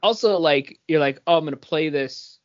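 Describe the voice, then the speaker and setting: A man's voice: a little high, normal speed, muffled recording. A man co-hosting a podcast, telling everyday anecdotes in a casual, humorous way. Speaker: podcast co-host.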